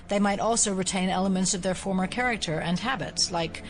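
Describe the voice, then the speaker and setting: An actress playing a skeptical, level-headed FBI agent in an audio drama, speaking in a measured, analytical way. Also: female voice, medium pitch, close to an everyday voice. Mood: analytical, serious.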